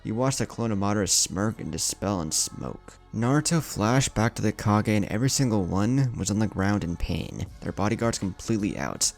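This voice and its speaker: A man's voice: on the low side, lively intonation, normal speed, vocal fry, few pauses, a little mellow, faint music behind. A man reading a dramatic scripted story as its narrator. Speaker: fan fiction narrator.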